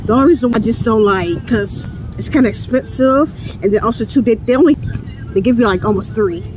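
A woman's voice: fairly high pitch, a little fast. A woman in her thirties reviewing food outdoors, talking casually and playfully into a phone at arm's length between bites. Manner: chatty, playful, laughs often while eating. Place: outdoors beside a building, near traffic. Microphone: phone camera at arm's length. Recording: outdoor phone recording with traffic noise.

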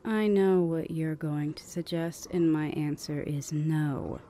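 A woman voicing a stern, weary older vampire sister in a measured, condescending ASMR roleplay, often half-whispering. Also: sits low, dark tone, a little hiss or hum. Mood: exasperated, firm.

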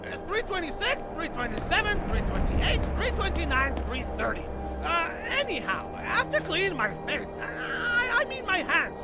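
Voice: very high-pitched, very animated, loud music behind the voice, full character voice. An actor voicing a talkative, absent-minded rat creature in a fantasy game, rambling through tall tales and counting as it goes. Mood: rambling, musing. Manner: rambling storyteller, pausing to count numbers. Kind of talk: scripted comic fantasy game storytelling.

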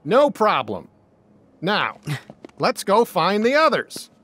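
Caring cowboy doll, animated intonation, unhurried, take-charge, reassuring, video game cartoon. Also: male voice, high-pitched, full character voice. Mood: upbeat. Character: friendly male cowboy doll, caring leader of toys.

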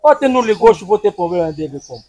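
A husky man talks quickly with a lively, swooping pitch. He speaks in a comic sketch recorded outdoors in a yard from a far camera. Birds chirp faintly behind him. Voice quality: husky, mixes Portuguese and French.

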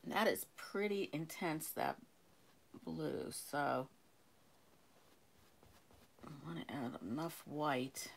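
An older woman teaching a painting lesson, talking slowly and calmly while she works, losing her voice and clearing her throat often. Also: low voice, slight background noise. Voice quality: gravelly voice. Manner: slow, calm, unhurried talk. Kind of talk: live painting lesson, thinking aloud. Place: home art studio, indoors.

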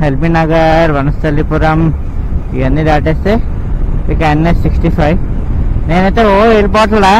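A man chatting casually and ramblingly as he vlogs from his motorbike, often rephrasing himself. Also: fairly high pitch. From Andhra Pradesh, India. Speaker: motovlogger. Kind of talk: casual vlog chat while riding. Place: outdoors on a motorbike at night. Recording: constant road and vehicle noise. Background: traffic and vehicle noise.

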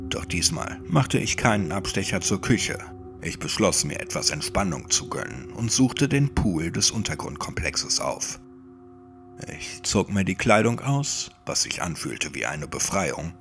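A man narrating a first-person horror story in a dramatic, brooding audiobook style, often sinking toward a breathy whisper. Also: low-pitched, fairly bright tone. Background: music.

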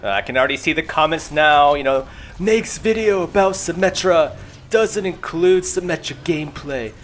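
A man's voice: a little high, moderate pace, fairly bright tone, a little hiss or hum. A young man doing casual gaming commentary as a video creator, chatty, informal and self-deprecating. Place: voice-over recorded apart from the gameplay. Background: music.

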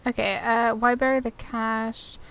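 Woman gamer with a creaky, higher voice. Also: flat delivery, unhurried, fairly bright tone. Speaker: gamer, video host. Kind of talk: casual gameplay commentary.

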